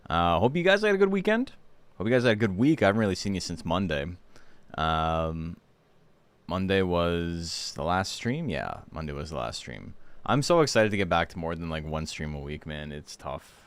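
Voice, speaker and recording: A hoarse man hosting a livestream, chatting casually and rambling with lots of fillers. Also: low voice, moderate pace, no echo. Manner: rambling, relaxed, full of fillers. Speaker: streamer and tournament host.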